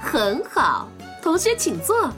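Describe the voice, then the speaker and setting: Pompous teacher, high-pitched, theatrical swings, sarcastic, scolding, radio play with music behind. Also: female voice, huge pitch swings, slow, heavily acted. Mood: approving. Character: pompous, scolding schoolteacher berating a pupil. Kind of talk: Mandarin audio drama acting.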